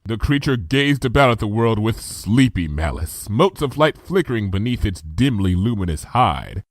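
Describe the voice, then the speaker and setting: A man narrating a comic fan story in a lively storytelling style. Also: fairly low pitch, normal speed, no room sound, studio quality. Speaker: fanfiction reader. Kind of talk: dramatic audiobook narration.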